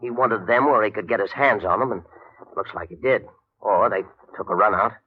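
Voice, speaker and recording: Nervous shady bondsman, raspy, slangy and pleading, muffled 1950s radio crime show sound. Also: on the low side, fairly quick. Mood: anxious, insistent. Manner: pleading, hesitant, slangy talk. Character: anxious, shifty New York bail bondsman. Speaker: supporting character in detective radio drama. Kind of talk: old-time radio crime drama acting. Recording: muffled vintage 1950 radio recording.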